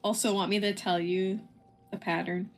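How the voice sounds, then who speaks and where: A woman's voice: medium pitch, fairly even intonation, moderate pace. A woman co-hosting a game livestream, chatting casually and playfully as she guides the play. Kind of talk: casual chat while guiding a game.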